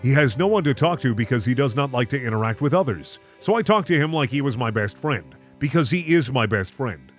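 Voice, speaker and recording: An earnest, naive girl narrator read by a man in a male register tells an amateur story. The intonation is lively, with faint music behind. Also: medium pitch, brisk, few pauses, close to an everyday voice. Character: naive, plain-spoken teenage girl telling her story.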